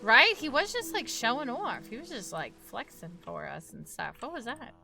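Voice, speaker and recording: A woman's voice: on the high side, sing-song, moderate pace, vocal fry, over music. A young woman with a New York accent, chatting casually with her live-stream audience while gaming, talkative and laughing often.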